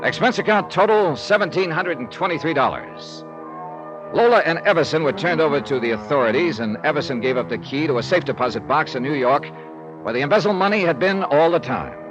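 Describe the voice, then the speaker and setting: An actor playing a tough, wry insurance investigator in a 1950s radio detective drama, with clipped delivery. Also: male voice, fairly high pitch, animated, brisk, natural voice. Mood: wry, hard-boiled. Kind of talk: 1950s radio detective acting and first-person narration. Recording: dull vintage radio recording with music.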